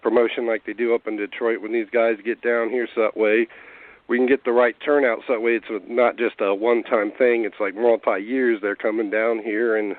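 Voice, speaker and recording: A hoarse man talking in a laid-back, rambling way, as a guest on a call-in interview. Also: mid-range voice, little pitch movement, moderate pace, no echo. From Cincinnati area. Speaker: guest rapper. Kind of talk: casual interview over phone. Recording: tinny phone line, dry.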